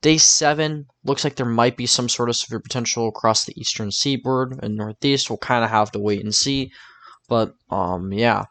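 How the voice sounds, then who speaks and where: A man's voice: medium pitch, moderate pace, a bit bright, dry recording. A man talking informally through a weather forecast over maps, thinking aloud in a casual, tentative way. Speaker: weather forecaster presenting his own video.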